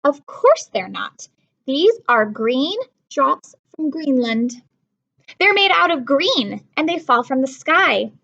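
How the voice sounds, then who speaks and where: A woman voicing a clever, coaxing older brother in a storybook, expressive and mock-serious. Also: high-pitched, slow pace, creaky, lo-fi sound, heavily acted. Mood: playful coaxing.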